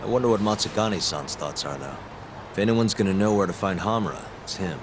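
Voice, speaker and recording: An actor playing a terse, determined investigator in a video game, talking in a casual, matter-of-fact way. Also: male voice, sits low, quick pace, noisy background, lightly acted. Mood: businesslike. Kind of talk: video game cutscene acting.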